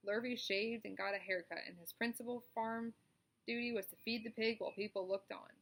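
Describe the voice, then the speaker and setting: A woman's voice: on the high side, fairly even intonation, a little fast. A woman narrating a children's story in a read-aloud style. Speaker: storybook reader.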